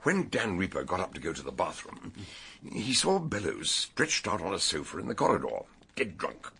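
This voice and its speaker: Gravelly, very husky British police investigator. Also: male voice, low-pitched, huge pitch swings, normal speed, bright, forceful tone, full character voice. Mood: matter-of-fact. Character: police investigator, brisk, knowledgeable, methodical questioner. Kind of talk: British radio drama acting.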